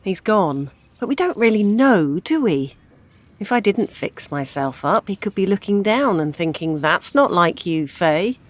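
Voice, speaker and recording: A woman's voice: medium pitch, expressive, measured pace. An actress with a British accent playing a grieving older widow and mother, wry and devoted, in an intimate audio drama. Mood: sad, fretful.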